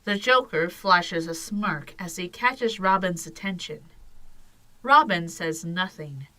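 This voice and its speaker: A woman's voice: fairly low pitch, expressive, takes it slowly, sharp tone. A woman narrator reading scripted storytelling for an audio drama.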